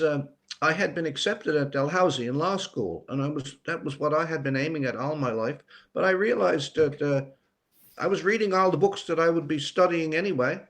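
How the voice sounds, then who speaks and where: An older man from Newfoundland answering interview questions reflectively, pausing often to search for words. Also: a little high, fairly bright tone. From rural Newfoundland, Canada. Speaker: author and retired teacher as guest.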